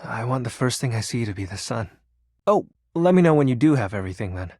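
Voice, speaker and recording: A man's voice: mid-range voice, sing-song, fast, a little mellow, husky, no room sound, clean studio sound. A man voicing the personification of darkness in a video game, a shy, sentimental, awkward self-styled shadow lord who speaks hesitantly with bursts of theatrical brooding. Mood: gentle, eager.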